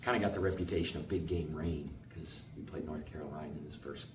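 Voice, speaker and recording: A man who coaches basketball answers interview questions in a loose, energetic way, full of fillers and slang. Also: extremely low pitch, fast. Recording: echoey room, far from mic, with background noise.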